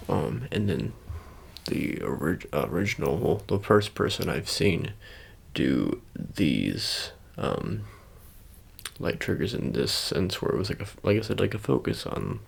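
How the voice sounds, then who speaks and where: A man doing an ASMR roleplay, talking casually to the viewer in a halting, rambling, self-correcting way. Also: sits low, very animated, gravelly, husky. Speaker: ASMR creator.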